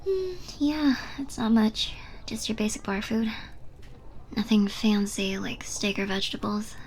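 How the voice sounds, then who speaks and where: A woman playing a flirty, teasing bartender in a soft, breathy ASMR roleplay, speaking close to the listener and often dropping to a near-whisper. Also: medium pitch, a little hiss or hum, close to an everyday voice. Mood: wry, playful. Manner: playful, giggly, unhurried flirting.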